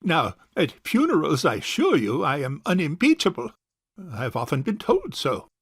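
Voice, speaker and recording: Fussy old bachelor uncle for stage farce: high-pitched, gravelly, pompous, miserly. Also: male voice, wildly swooping pitch, normal speed, no echo, clean studio sound, heavily acted. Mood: pompous, awkward. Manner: pompous, hesitant formal speech. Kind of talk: comic stage farce acting.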